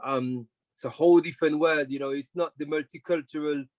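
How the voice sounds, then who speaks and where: A laid-back man from France talks with a slightly raised pitch, often laughing as he rambles. The recording is dry and close, with no room sound. He speaks in an easy, unhurried interview tone. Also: fairly even intonation, no room sound. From France, family from Martinique. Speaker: reggae artist guest. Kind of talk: relaxed conversational interview.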